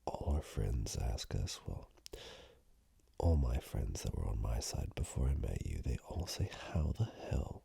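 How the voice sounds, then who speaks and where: A man in a soft, unhurried, breathy ASMR roleplay, half-whispering close to the microphone as a flirtatious, playful romantic partner. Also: extremely low pitch, sing-song, dry recording. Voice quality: breathy, gravelly.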